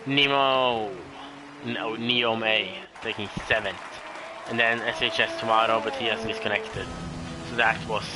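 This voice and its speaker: A man with a Norwegian accent, a second-language English speaker, doing solo live commentary of a sim race, hesitant with many fillers and self-corrections. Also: medium pitch.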